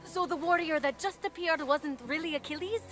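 A woman's voice: high voice, moderate pace, fairly bright tone, soft background music. A woman playing a plucky young hero in a video game, earnest and wry in manner. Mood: wry, puzzled. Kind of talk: video game cutscene acting.

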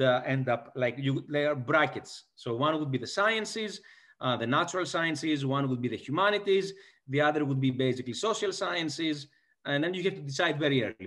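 A man's voice: fairly high pitch, fairly bright tone. A man in his forties with a Greek accent, speaking as a guest on a video call interview, thoughtful and full of fillers and restarts. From Greece. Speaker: guest economist. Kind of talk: conversational interview. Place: small indoor room. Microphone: laptop webcam on video call.

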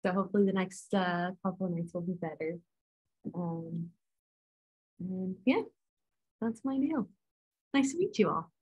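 Young woman, tired, husky voice, kinda shy, talking to a laptop across the room. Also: on the low side, a little slow, long pauses, a little mellow, poor audio. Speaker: graduate student. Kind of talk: casual self-introduction.

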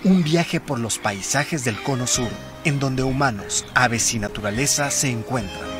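A man's voice: medium pitch, unhurried, close to an everyday voice. A man reading a scripted introduction as the announcer of a radio drama. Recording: music under the voice.

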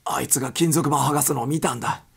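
A man's voice: mid-range voice, fairly even intonation, racing, gravelly, slightly rough, voice pushed up, exaggerated voice. A man voicing a desperate, panicked male passenger in a story reading, urgent and pleading.

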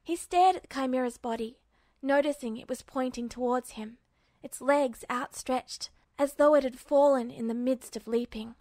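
A woman's voice: high voice, moderate pace, dark tone, no echo. A woman reading a scripted story aloud as an audiobook-style fan fiction narrator. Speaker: podfic narrator.

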